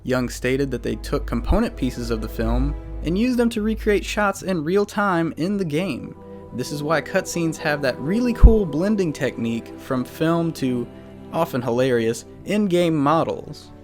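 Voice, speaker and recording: A man's voice: a little high, animated, unhurried, a little mellow. A man hosting a video series, reading a scripted retrospective in a wry, casually joking way. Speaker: video series host and narrator. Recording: music bed under voice. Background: background music.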